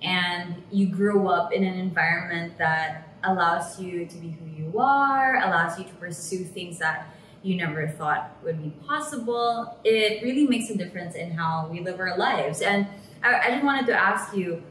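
A young woman with a slightly low voice hosts an interview, asking questions in a chatty, encouraging way. The room sounds echoey, and there is a faint hum behind her. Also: normal speed, fairly bright tone. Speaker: interview host. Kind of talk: casual interview chat. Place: bright indoor room. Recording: roomy echo with faint hum.

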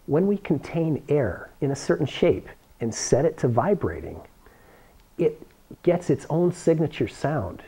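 A man's voice: fairly high pitch, very animated, unhurried, gravelly, dark tone, husky. A middle-aged American man presenting a science how-to demonstration, explaining step by step on a lapel microphone. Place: school workshop or lab room, indoors.

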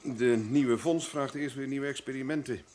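A man playing a calm, methodical scientist in an old radio play, explaining things in a measured way. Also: mid-range voice, a little hiss or hum, lightly acted. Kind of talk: 1950s-style radio drama acting.

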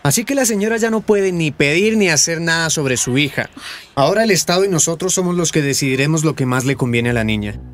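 A man's voice: a little high, lively intonation, fast, lightly acted. A man playing a formal, officious hospital doctor in a radio drama, firm and dismissive, with a Colombian accent. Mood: cold, defiant.

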